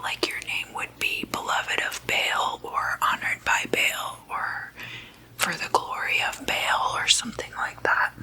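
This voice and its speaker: A woman whispers in an ASMR style, slowly and deliberately. The whisper is crisp and very bright, with an informal, gently playful delivery. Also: poor audio. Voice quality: breathy unvoiced whisper. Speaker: ASMR video host.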